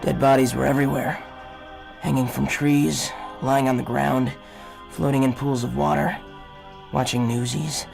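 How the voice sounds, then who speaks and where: Man playing a gravelly, brooding army captain narrating. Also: mid-range voice, level tone, unhurried, darkish tone, soft background music. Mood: grim, detached. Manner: grave, hard-boiled. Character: brooding, war-weary male army captain, haunted and cynical. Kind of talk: scripted first-person radio drama narration.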